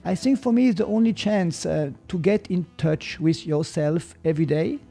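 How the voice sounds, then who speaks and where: A man with a Swiss German accent, explaining ideas on a podcast in a slow, reflective way and sometimes searching for English words. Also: on the high side, gravelly, mellow, faint music behind. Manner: slow, thoughtful, gets stuck on English words. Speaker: podcast guest, martial arts teacher.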